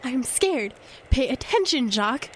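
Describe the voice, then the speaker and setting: An actress playing a frightened, doubting, defiant fiancée in an audio drama, with emphatic phrasing. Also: female voice, sits high, big pitch swings, unhurried, slight background noise. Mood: defensive. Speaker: actor. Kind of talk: audio drama acting with inner-monologue narration.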